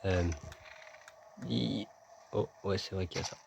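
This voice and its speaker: Joking low-voiced French gaming host. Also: male voice, little pitch movement, a little slow, noisy background. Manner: joking, chatty, talks to himself and the game. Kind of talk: casual let's-play commentary.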